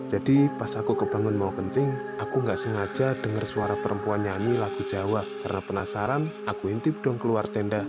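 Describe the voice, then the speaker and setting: A man's voice: lowish voice, a little fast, few pauses, over loud music, close to an everyday voice. A man voicing an anxious, protective young hiker in an Indonesian horror cartoon, speaking colloquially and earnestly with an Indonesian accent. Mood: serious, explaining. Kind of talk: animated horror story dialogue.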